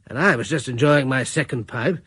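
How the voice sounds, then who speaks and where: Guy narrating a radio play, calm and reflective, dry close sound, bit breathy. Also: mid-range voice, brisk, no echo. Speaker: narrator and lead character. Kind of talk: first-person radio drama narration.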